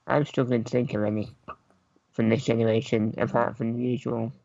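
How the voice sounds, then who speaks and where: A man's voice: mid-range voice, steady tone, a little fast, gravelly, a little mellow, no room sound. A man talking casually as a panellist on a gaming podcast, in a dry, slightly dull recording.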